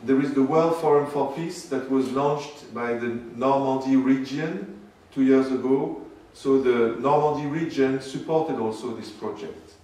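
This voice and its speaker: A man giving a public lecture as guest speaker, speaking haltingly with many fillers and restarts. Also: mid-range voice, warm tone. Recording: roomy, distant mic with faint noise.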